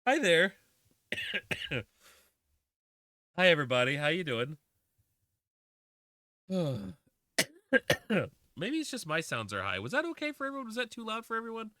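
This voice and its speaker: A man's voice: high voice, wildly swooping pitch, unhurried, long pauses, pushed and bright, dry recording, clean studio sound. A man in his twenties, streaming a game and chatting casually, rambling with lots of fillers and restarts. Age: 20s. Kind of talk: casual livestream chat while playing a game.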